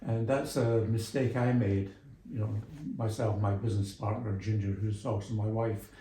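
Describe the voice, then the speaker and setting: Mature man, low mellow voice, roomy sound. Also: steady tone, moderate pace, a little hiss or hum. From Scotland and England. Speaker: interview guest, small business mentor and executive coach. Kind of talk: conversational radio interview.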